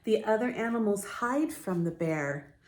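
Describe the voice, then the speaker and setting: A woman reading a picture book aloud to young children as a storytime host, with deliberate, drawn-out phrasing. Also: mid-range voice, animated, darkish tone, slight background noise.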